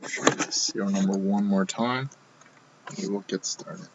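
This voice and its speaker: A man hosting a live card box break, talking casually while he opens packs, his voice going hoarse. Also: fairly low pitch, fairly even intonation, moderate pace, highs cut off, very noisy. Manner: casual, running commentary while opening packs. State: losing his voice.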